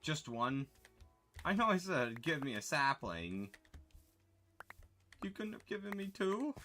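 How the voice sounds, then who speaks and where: A man's voice: fairly high pitch, sing-song, slow pace, pushed and bright, soft background music. A man chatting casually with his chat while livestreaming a game, answering questions and correcting himself as he goes.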